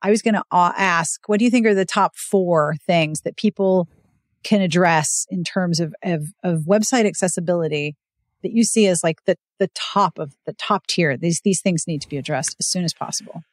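A woman's voice: on the low side, moderate pace, no room sound, studio quality. An American woman hosting a podcast in casual, chatty conversation, often acting out little quoted asides. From United States. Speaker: podcast host.

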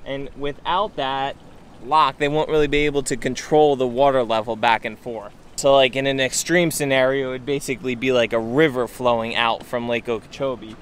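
A man's voice: medium pitch, fairly even intonation, normal speed. An American man in his thirties chatting casually to camera as a sailing vlogger, explaining things in a laid-back way. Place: open deck of a sailboat on water. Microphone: handheld camera at arm's length. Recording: outdoor camera mic, loud wind and boat noise.

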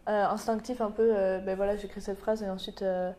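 A woman's voice: medium pitch, flat delivery, brisk, dark tone. Chatty young French-speaking woman host. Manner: chatty, laughing, many fillers and trailing phrases. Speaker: interviewer, vlog host. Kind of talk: casual conversation mixing French and English.

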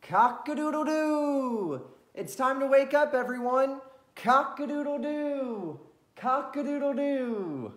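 A man imitating a rooster crowing loudly to wake everyone on the farm. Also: animated, takes it slowly, echoey room, exaggerated voice. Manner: loud, shrill crowing.

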